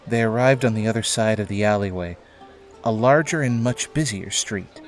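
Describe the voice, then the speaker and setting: A man serves as narrator for an audio drama, reading scripted prose. His voice is on the low side, and music plays softly behind it. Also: normal speed. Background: background music.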